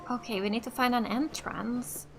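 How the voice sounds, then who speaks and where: A woman's voice: on the high side, steady tone, moderate pace, music in the background, low-quality recording. A woman from Finland, a native Finnish speaker, giving casual let's play commentary and tentatively thinking aloud. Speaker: gaming streamer.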